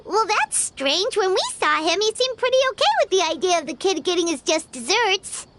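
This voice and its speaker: A woman's voice: animated, moderate pace, a bit bright, slight background noise. A woman voicing a tiny chirpy floating companion girl who speaks of herself by name. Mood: puzzled. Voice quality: high, squeaky and bouncy. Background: game music.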